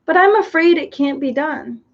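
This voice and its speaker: A regal, somewhat melancholy storybook king, voiced by a woman narrator. His lines are grand and commanding, delivered high and lively. The recording is dull and lo-fi. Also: normal speed. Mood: wistful. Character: gloomy commanding male king.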